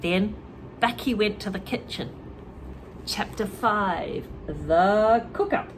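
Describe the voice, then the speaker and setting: Lively woman reading a storybook aloud. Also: mid-range voice, slow, a little hiss or hum, poor audio. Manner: lively, explains words to learners. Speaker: reader and English teacher. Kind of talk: children's story read aloud with explanations.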